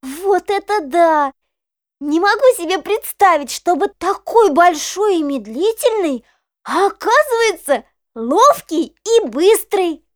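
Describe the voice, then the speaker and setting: An actress playing a curious, wide-eyed little granddaughter who asks questions in an audio play. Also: female voice, very high voice, very animated, slow, full character voice. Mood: amazed.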